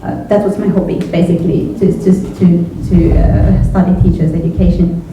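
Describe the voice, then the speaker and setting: A middle-aged native Finnish woman with a Finnish accent, answering on a panel in a halting, thoughtful way and often repeating words. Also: lowish voice, flat delivery. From Finland. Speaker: panelist, journalism educator. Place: echoey indoor event room with a panel. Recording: echoey room from far off, phone-like, faint noise.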